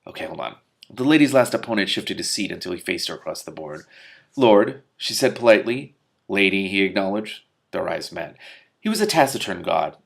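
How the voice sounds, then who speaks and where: A man's voice: lowish voice, vocal fry. A man reading a fantasy novel aloud expressively, with casual asides of his own. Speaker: reader and commentator.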